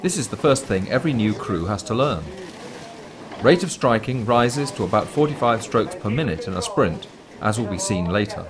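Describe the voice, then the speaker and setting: A man reading scripted documentary commentary in a measured, formal, explanatory manner. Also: fairly low pitch, animated, crowd noise. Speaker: documentary narrator.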